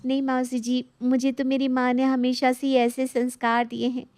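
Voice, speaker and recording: A young, respectful daughter-in-law from a storybook cartoon, played by a woman, with a fairly high voice and a dry recording. She is earnest, deferential and eager to please. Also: monotone, normal speed, darkish tone, no echo, lightly acted. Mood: sweetly dutiful. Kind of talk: animated moral story dialogue.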